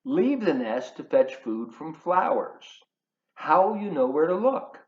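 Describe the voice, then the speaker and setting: Slow-paced man reading to kids, lively pitch. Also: a little hiss or hum. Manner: playful, addresses children directly with questions. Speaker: volunteer children's book reader. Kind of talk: read-aloud of a picture book for young children, with asides. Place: living room at home. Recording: roomy, slightly dull home video sound.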